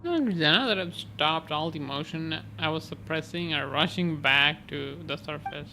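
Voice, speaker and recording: A woman's voice: low voice, unhurried, sharp tone, slight background noise, low-quality recording. A woman doing casual gameplay commentary and reading dialogue aloud, reactive and prone to exclaiming. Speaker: gaming streamer. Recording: game music behind the voice.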